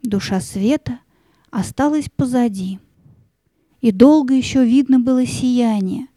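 A woman's voice: on the high side, mellow, dry recording. A woman narrating a fairy tale in a scripted storytelling style.

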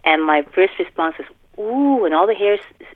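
A Canadian woman in her fifties chatting casually as an interview guest, animated and self-deprecating as she tells stories. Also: medium pitch, moderate pace, no room sound. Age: 50s. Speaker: fantasy author, podcast guest. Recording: tinny telephone-like line.

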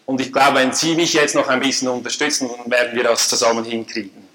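A man with a Swiss German accent giving a formal lecture at a conference, measured and dryly humorous. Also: medium pitch, level tone, bright, forceful tone, a little hiss or hum, rough recording. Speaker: conference speaker. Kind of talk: academic lecture. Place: lecture hall.

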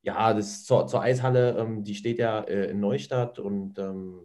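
A man's voice: lowish voice, steady tone, a little slow, darkish tone. A man chatting as a guest, easygoing and hesitant with many fillers. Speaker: guest, ice hockey player.

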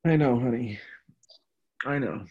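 An actor playing a playful, humble, apologetic and affectionate husband in a radio drama. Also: male voice, mid-range voice, a little slow, husky, narrow, tinny audio. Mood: humble, sincere.

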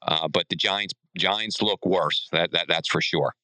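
Deep punchy guy hosting sports chat, keeps repeating himself, dry close sound. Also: bright, forceful tone, clear, resonant tone, dry recording. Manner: halting, repetitive, prodding questions. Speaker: sports podcast host. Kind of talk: casual sports discussion.

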